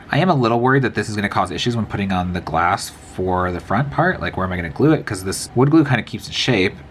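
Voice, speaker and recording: Brief: sniffly man, low-pitched, lively and quick, rambling to himself while he builds miniatures, faint room noise. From American Midwest. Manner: chatty, self-talking, rambling asides while working. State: sniffly from a cold. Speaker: miniature crafter. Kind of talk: casual crafting vlog narration.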